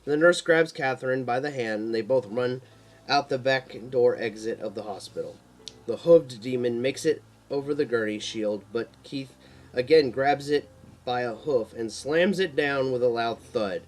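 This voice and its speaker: A man's voice: medium pitch, moderate pace, rough recording. A man reading a scripted action horror story as narrator, sometimes stumbling over words and repeating them.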